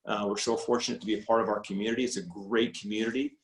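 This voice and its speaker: A man's voice: lowish voice, little pitch movement, rapid-fire. Man in his forties, echoey video call. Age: 40s. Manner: rambling, many repeated starts and fillers. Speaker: high school football coach. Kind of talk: casual interview over video call. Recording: roomy echo on video call audio.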